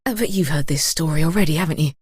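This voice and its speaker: A woman's voice: deep voice, very fast, darkish tone, slightly rough, dry recording, clean studio sound. A woman playing a sly murder mystery game narrator, speaking in a knowing, teasing, theatrical storyteller's manner. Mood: knowing, storytelling.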